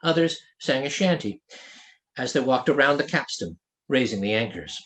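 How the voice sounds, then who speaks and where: A man reading his own fantasy novel aloud as an author, in expressive scripted narration. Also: mid-range voice, moderate pace, rough recording.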